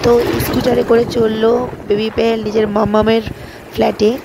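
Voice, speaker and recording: A woman's voice: a little high, little pitch movement, a little fast, creaky. A woman narrating a casual family vlog, chatting and explaining things to viewers. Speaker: vlogger narrating her day. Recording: faint background noise.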